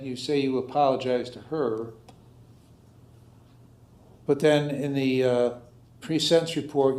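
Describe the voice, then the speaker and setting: A man with an American accent speaking as a judge, questioning and admonishing in a halting, stern way. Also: mid-range voice, darkish tone. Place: indoor courtroom. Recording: echoey room sound.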